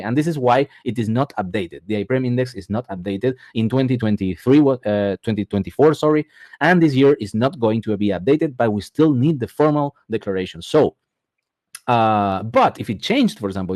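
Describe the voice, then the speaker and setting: Argentine guy running a webinar, mid pitch, dead dry mic, keeps saying okay?. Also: darkish tone, no echo. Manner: repeats words for emphasis, frequent "okay?" check-ins. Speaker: immigration advisor presenting a webinar. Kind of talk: explanatory webinar presentation.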